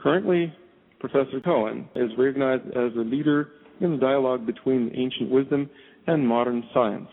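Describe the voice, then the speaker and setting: A male show host reading a formal introduction and interviewing a guest. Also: mid-range voice. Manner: measured, formal, stumbles now and then. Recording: tinny phone line.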